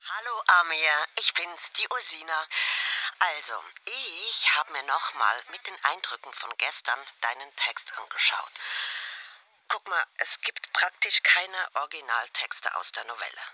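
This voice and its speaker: A woman speaks in a very bright, sharp voice. She sounds conversational and coaxing, as if leaving an informal message for a colleague. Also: low voice, lively intonation, unhurried, slight background noise. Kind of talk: informal spoken phone message.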